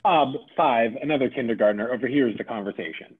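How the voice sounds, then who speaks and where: A man's voice: medium pitch, brisk, slightly rough. A man reading stage directions and character notes aloud at a script table read, on a thin recording. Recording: thin, phone-like, band-limited sound.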